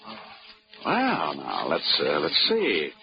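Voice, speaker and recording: A man's voice: extremely low pitch, wildly swooping pitch, slow, full character voice. A man playing a breezy, wisecracking insurance investigator in a 1940s radio drama. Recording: dull old radio recording with music.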